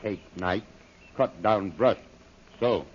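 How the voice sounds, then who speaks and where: An actor playing a stolid, loyal native guide and bodyguard who speaks terse broken English, in 1930s radio style. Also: male voice, fairly low pitch, takes it slowly, slightly rough. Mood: patient, stubborn. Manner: broken pidgin English, terse clipped phrases. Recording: dull lo-fi old radio, faint noise.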